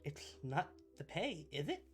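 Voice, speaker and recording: Supervillain, male actor, theatrical but earnest and needy. Very animated intonation, ASMR roleplay monologue over background music. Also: a little high. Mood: alarmed, pleading. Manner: dramatic, earnest, halting. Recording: music behind the voice.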